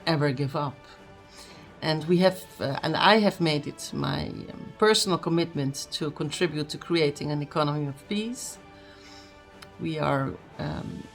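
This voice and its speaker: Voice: mid-range voice, creaky, a bit bright. A speaker giving an earnest motivational message, with many fillers and restarts. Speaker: speaker at a book launch.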